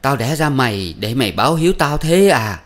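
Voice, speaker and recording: A man's voice: medium pitch, big pitch swings, a little fast, fairly bright tone, pitched above the performer's own voice. A man voicing a furious, domineering older mother-in-law who scolds and wails melodramatically. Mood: raging, wailing. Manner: scolding, wailing, self-pitying.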